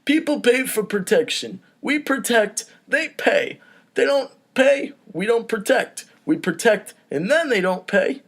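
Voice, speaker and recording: A man's voice: very high-pitched, animated, measured pace, vocal fry, pitched above the performer's own voice, exaggerated voice. A man playing a cocky mobster enforcer with punchy, clipped patter in a comedy script. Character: mobster enforcer explaining protection racket, cocky, repetitive.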